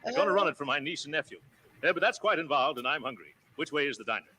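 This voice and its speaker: Pompous, animated radio comedy businessman. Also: fairly high pitch, racing, a little hiss or hum. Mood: jovial. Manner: pompous, chuckling, hemming and hawing. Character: pompous, jovial, blustering businessman with a big appetite. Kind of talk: 1940s radio comedy acting. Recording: old radio broadcast with studio audience.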